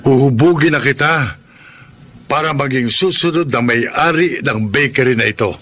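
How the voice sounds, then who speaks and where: A man's voice: mid-range voice, normal speed, fairly bright tone, a little hiss or hum, natural voice. A man playing a kindly old grandfather and devoted shop owner in a Filipino radio drama, earnest and fatherly.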